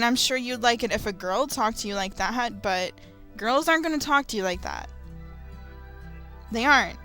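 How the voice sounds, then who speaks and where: A woman in her twenties speaking casually and unscripted to viewers, in an earnest, emphatic, halting way. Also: on the high side, fairly bright tone. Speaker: gamer, video maker. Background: background music.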